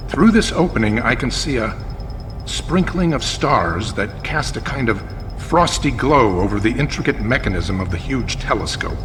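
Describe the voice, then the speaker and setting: Male radio-drama reporter, played by an actor: bright, projected voice, formal and inquisitive, deliberate announcer phrasing, faint noise behind. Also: medium pitch, big-room sound, lightly acted. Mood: formal, descriptive. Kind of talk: old-time radio news broadcast acting.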